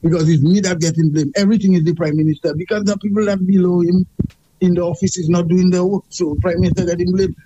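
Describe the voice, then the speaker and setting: A man's voice: sits high, fairly even intonation, quick pace, warm tone, muffled recording, slight background noise. A man with a Saint Lucian accent calling in to a radio show, talking emphatically and repeating himself as he complains. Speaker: radio caller. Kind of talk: call-in radio chat.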